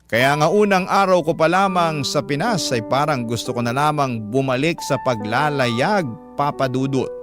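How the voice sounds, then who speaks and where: A man playing a humble, anxious seaman father, narrating his letter in a measured, confiding way with a Filipino accent. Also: on the high side, lightly acted. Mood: apprehensive. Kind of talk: dramatic first-person letter narration. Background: music.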